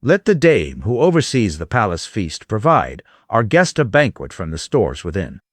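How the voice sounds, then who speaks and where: A man's voice: on the low side, huge pitch swings, normal speed, no room sound. A man playing an eloquent old chief in an epic audio drama, speaking with formal, pleading oratory. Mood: earnest, urging. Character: eloquent old chief counselling his king, courteous, urgent.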